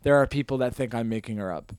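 A man co-hosting a comedy podcast who jumps in with quick, animated jokes. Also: medium pitch, smooth, dry recording, studio quality. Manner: animated, quick-witted joker. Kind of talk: casual comedy podcast chat.

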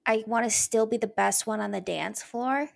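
A woman's voice: fairly high pitch, moderate pace, creaky. A young woman co-hosting a podcast, playful and easygoing in casual chat. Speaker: podcast co-host.

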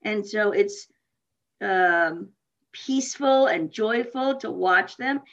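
A woman's voice: mid-range voice, slow. Host: chatty woman from Minnesota, laughs through her stories, dull remote sound. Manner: animated storyteller, laughs often. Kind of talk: casual chat.

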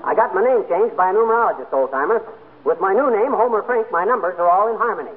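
An actor playing a boastful, stubborn husband with a brash, folksy manner in a 1940s radio comedy. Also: male voice, high voice, expressive, fast talker, phone-quality sound. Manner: brash, folksy, self-important. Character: blustery, stubborn, boastful husband full of schemes. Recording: thin 1940s radio broadcast, background noise.

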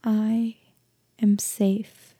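Female meditation guide, soft half-whisper, very warm and relaxed tone, gentle slow delivery, close dry sound. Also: mid-range voice, slightly rough, no room sound. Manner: gentle, hushed, deliberate with long pauses.

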